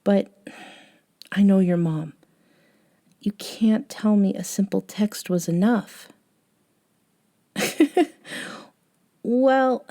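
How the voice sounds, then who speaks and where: A woman playing a gentle, eccentric young woman, a caring friend, in an intimate ASMR audio roleplay, soft-spoken with hesitant pauses. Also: medium pitch. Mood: gently concerned, then wryly teasing.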